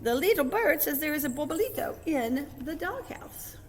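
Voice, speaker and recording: A woman's voice: high voice, moderate pace, big-room sound, voice pushed up. A woman voicing a naughty, boastful little kitten boy who thinks he is a chihuahua, as in a storybook. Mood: defiant, excited. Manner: excitable, defiant, bragging.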